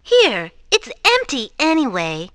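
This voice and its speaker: A woman's voice: high voice, huge pitch swings, takes it slowly, fairly bright tone, no echo, clean studio sound, full character voice. A woman playing a flirty, self-satisfied lady in a cartoon video game, breezy and arch. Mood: smug, flirty.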